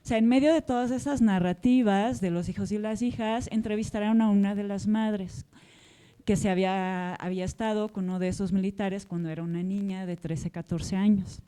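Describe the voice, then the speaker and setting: A woman's voice: mid-range voice, steady tone, normal speed, mellow, smooth. A woman from Portugal moderating a literary panel, speaking reflectively and discursively, often checking in with short tag questions. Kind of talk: reflective spoken question with personal anecdote at a public talk.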